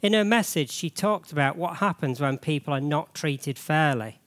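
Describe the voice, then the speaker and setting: A man's voice: a little high, lively intonation, normal speed, a bit bright, dry recording. A man with a cold, losing his voice, giving a chatty, jokey church sermon full of rhetorical questions.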